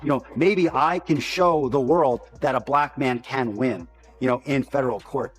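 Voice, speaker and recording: A man's voice: mid-range voice, moderate pace, husky, faint music behind. A man speaking off the cuff as an interviewee, explaining his view earnestly. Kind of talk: spontaneous spoken commentary.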